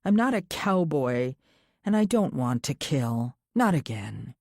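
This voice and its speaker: Low-voiced woman as a resolute troubled wife. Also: very animated, unhurried, darkish tone, dry recording, clean studio sound, heavily acted. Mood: grave, resolute. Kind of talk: first-person audiobook narration of a thriller.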